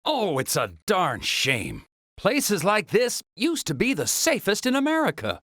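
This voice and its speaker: A man's voice: sits high, wildly swooping pitch, a little slow, a bit bright, no room sound, clean studio sound, exaggerated voice. An actor playing a jovial, talkative travelling salesman in a video game cutscene, with a folksy, chatty manner. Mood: folksy, friendly. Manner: chatty, folksy, chuckling, exclamatory.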